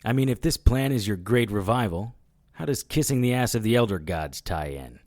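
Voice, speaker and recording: Guy doing a wisecracking private eye in a radio play, lowish voice that moves around a lot, sarcastic, super dry close sound. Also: expressive, normal speed, darkish tone, dry recording, lightly acted. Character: wisecracking male private investigator hero, defiant. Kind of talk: audio drama acting.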